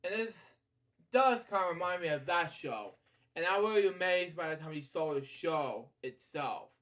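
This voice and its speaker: A man hosting a bedtime story read-aloud, halting and rambling as he drifts into casual asides. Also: on the high side, lively intonation, fairly bright tone. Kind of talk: picture-book read-aloud with casual off-the-cuff commentary.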